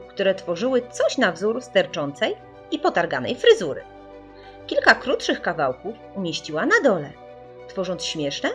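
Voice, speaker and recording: A woman reading a children's story in an expressive, theatrical storytelling manner. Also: on the low side. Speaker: audiobook narrator.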